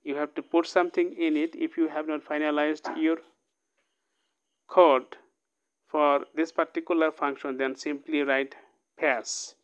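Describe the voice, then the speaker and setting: A man's voice: on the high side, little pitch movement, unhurried, pauses often, clear, resonant tone. Man walking through code with a dull lo-fi mic. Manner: explains code line by line, frequent "okay?" checks. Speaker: programming tutor. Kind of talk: casual screen-recorded coding lesson.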